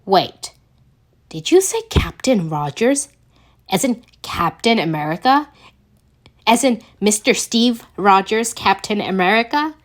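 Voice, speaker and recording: A woman's voice: mid-range voice, expressive, unhurried, natural voice. A woman reading the part of a sarcastic, easily embarrassed teenage boy in a story, in a casual, exclamatory way. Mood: incredulous. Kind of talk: amateur audiobook character reading.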